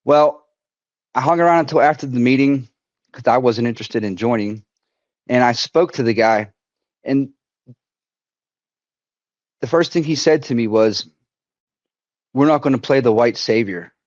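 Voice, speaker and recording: A man, a veteran, telling a personal story at a community council in a halting way, often restarting and quoting others. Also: mid-range voice, dry recording, lo-fi sound. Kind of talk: personal story told to an online forum.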